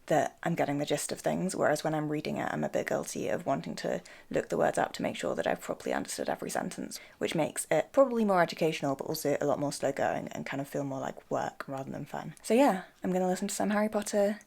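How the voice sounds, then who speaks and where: Low-pitched, creaky-voiced young English woman. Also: expressive, fast, hardly any pauses, a little mellow. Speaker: vlogger. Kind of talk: casual chatty vlog talk to camera.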